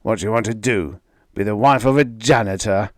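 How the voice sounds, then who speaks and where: A man reading aloud, voicing an angry, bigoted middle-aged father who shouts and rants. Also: on the low side, fairly quick, no room sound, clean studio sound, close to an everyday voice. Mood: angry ranting. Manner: ranting, shouting.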